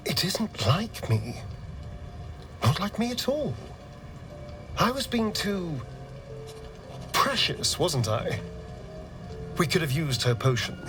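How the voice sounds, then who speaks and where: A wounded, vain vampire rogue in a video game. He speaks slowly and archly in a fairly high male voice that swings dramatically, with halting pauses and music behind. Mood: troubled, rueful. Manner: theatrical, arch, halting.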